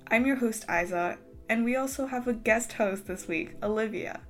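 A woman's voice: fairly high pitch, moderate pace, soft background music. A woman hosting a podcast, giving a formal scripted opening and welcome. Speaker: podcast host.

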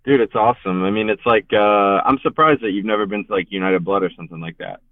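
A man's voice: fairly low pitch, fast. A young man from Richmond, Virginia, guesting on a podcast and talking about his bands in a rambling way, full of filler words. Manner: laid-back, rambling, heavy on filler words. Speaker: guest musician. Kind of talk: casual podcast interview. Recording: tinny, phone-like remote call.

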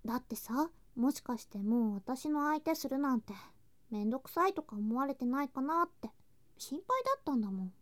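A woman voicing a sweet, affectionate younger girl cousin in a Japanese ASMR situation drama, in a cutesy, clingy manner with soft whispery touches. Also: sits high, lively intonation, fairly quick. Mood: excited, relieved.

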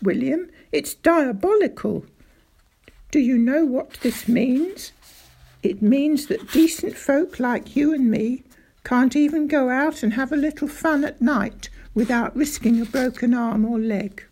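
A woman voicing a small elderly country doctor, excitable and easily outraged, in a storybook reading. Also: a little high, a little slow, vocal fry, breathy edge, exaggerated voice. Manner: excitable, grave then outraged.

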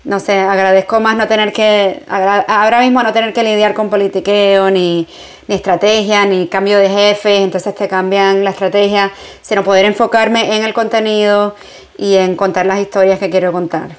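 A woman's voice: medium pitch, level tone, normal speed. A woman from Puerto Rico with a Puerto Rican accent, a journalist answering interview questions in a reflective, conversational way, mixing in English phrases. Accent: Puerto Rican Spanish. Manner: reflective, mixes in English phrases, frequent fillers. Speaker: journalist and entrepreneur, guest.

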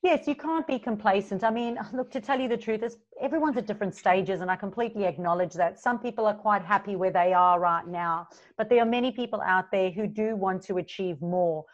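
A bubbly woman from South Africa, her accent blended with Australian, chats as an interview guest and laughs between phrases. Her voice sits at a middle pitch. The recording is a little dull and lo-fi. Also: fairly quick, few pauses. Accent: South African, mixed with Australian. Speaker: guest, business coach. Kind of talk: casual interview chat. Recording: slightly dull lo-fi sound.

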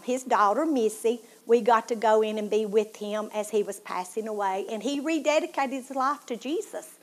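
A woman with a Tennessee accent hosting her own show, giving an earnest personal testimony and dropping to a hush at painful moments. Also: fairly high pitch. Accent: Southern US, Tennessee. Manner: earnest, heartfelt, drops to a hushed tone at painful moments. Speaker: show host.

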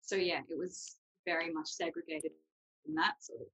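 A woman's voice: low-pitched, fairly even intonation, normal speed, fairly bright tone, husky, poor audio. A young adult woman, explaining things informally and reassuringly as a guest in a casual chat. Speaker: guest, university student.

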